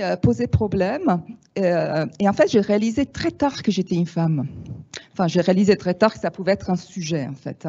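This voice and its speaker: A woman in her fifties from Italy, speaking French with an Italian accent, giving a lively, frank talk to an audience with wry asides. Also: fairly low pitch. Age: 50s. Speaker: speaker at a panel. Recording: dull lo-fi sound.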